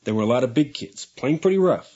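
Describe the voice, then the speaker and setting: Anxious American father played by a man, radio drama, halting and defensive, slightly rough voice with very animated pitch. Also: close to an everyday voice. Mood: defensive, uneasy. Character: anxious, troubled father of a small boy. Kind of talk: naturalistic radio drama acting.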